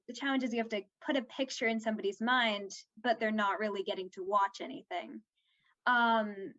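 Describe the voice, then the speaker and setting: A young woman with a fairly high voice talks casually, as an actor in an interview. She is informal and says "like" very often. The audio is slightly dull and lo-fi. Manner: informal, heavy use of "like".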